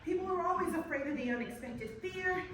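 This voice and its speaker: High voice, actress as a frightened faithful woman, self-questioning, very echoey distant noisy recording. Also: animated, brisk, fairly bright tone. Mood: anxious, prayerful. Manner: talks to herself, questioning and praying. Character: anxious devout woman with breast cancer, self-questioning. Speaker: woman awaiting a cancer consult. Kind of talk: inner-monologue acting.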